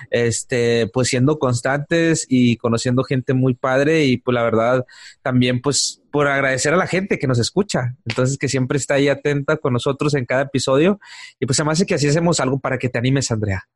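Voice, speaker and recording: A man's voice: medium pitch, no echo, studio quality. A man with a Mexican accent chatting casually and colloquially as a podcast co-host, often repeating himself. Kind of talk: casual conversational interviewing.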